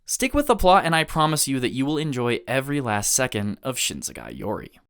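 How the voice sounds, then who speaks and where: Expressive man narrating a scripted video essay. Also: mid-range voice, brisk, smooth. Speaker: video essayist reviewing an anime.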